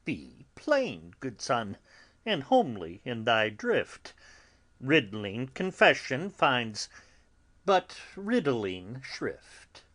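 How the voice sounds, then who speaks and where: Man playing a slow, theatrical, philosophical old friar. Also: mid-range voice, huge pitch swings, fairly bright tone, exaggerated voice. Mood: fatherly, probing. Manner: measured, deliberate, with dramatic pauses and sudden swells. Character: elderly, philosophical friar, fatherly and gently chiding. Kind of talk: classical verse drama, theatrical dramatic reading.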